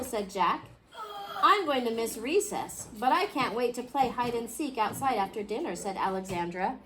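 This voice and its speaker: High-voiced woman reading a storybook, noisy recording. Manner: expressive, pausing to question young listeners. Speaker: children's storybook reader. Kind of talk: read-aloud of a picture book to a class. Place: indoor school classroom. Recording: clearly noisy classroom recording.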